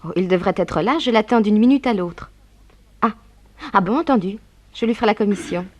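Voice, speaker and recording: An actress playing an affectionate, efficient young secretary in a 1960s French radio play, polite and attentive. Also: female voice, medium pitch, a little fast, slight background noise, natural voice. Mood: polite, businesslike.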